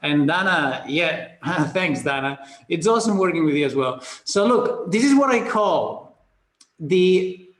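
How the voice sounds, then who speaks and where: A man's voice: on the high side, animated, a little slow, a bit bright. A man from Spain with a Spanish accent hosts a live webinar, chatting upbeat, greeting attendees by name and stumbling through restarts and fillers. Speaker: webinar host. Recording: roomy webinar audio.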